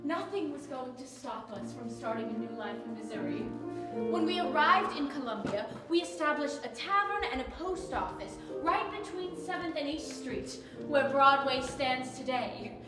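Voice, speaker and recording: A woman's voice: high-pitched, expressive, moderate pace. An actress performing a proud, determined frontier widow and postmistress, telling her story with resolve. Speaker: stage actor in a historical monologue. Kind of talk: theatrical monologue. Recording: roomy hall from far off, loud music behind. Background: loud music.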